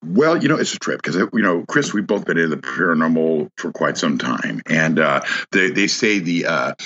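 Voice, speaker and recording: A man who is a guest on a podcast, telling a personal story in casual conversation, halting with many restarts and fillers. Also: very deep voice, sing-song, a bit bright, lo-fi sound.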